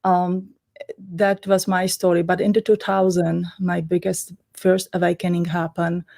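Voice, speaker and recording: Mellow, lower-voiced Czech-accented woman. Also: level tone, a little slow, vocal fry. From Czech Republic. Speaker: interview guest, energy healer. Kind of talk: casual conversational explanation.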